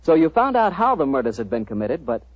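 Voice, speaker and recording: A man's voice: fairly high pitch, very animated, fast talker, no echo. An American man announcing for a 1940s radio show in an emphatic, selling sponsor style. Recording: muffled 1940s radio recording.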